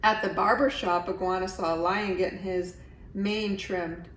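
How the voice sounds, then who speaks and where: A woman's voice: lowish voice, fairly even intonation, moderate pace, fairly bright tone, recorded from a distance. A middle-aged woman reading a children's story aloud expressively, as a storyteller. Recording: echoey room, faint background noise.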